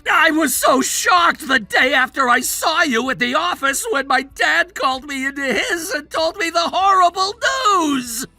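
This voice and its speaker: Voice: high voice, gravelly, pushed and bright, no echo, heavily acted. A performer voicing a spoiled, entitled young adult son in a melodramatic story, whiny and indignant. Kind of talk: melodramatic narrated story dialogue.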